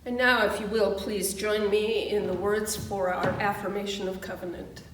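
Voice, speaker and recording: A woman minister giving a solemn, measured reading for worship, recorded at a distance in a roomy space. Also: mid-range voice, level tone. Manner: measured, deliberate phrasing. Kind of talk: solemn scripted liturgical reading. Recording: roomy, distant mic, background hiss.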